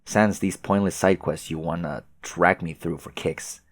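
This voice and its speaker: A man playing an aloof, sarcastic teenage boy who grumbles and swears in a deadpan way for a comic dub. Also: deep voice, measured pace, no echo. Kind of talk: fan comic dub dialogue.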